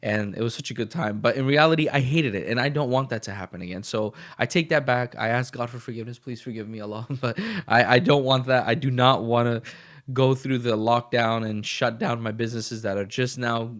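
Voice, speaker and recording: A man's voice: medium pitch, steady tone, a little fast, fairly bright tone. A man hosting his own podcast, talking casually and motivationally in a rambling way, a little sniffly as he gets over the flu. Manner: rambling and motivational, with frequent restarts. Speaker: podcast host. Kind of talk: casual solo podcast monologue.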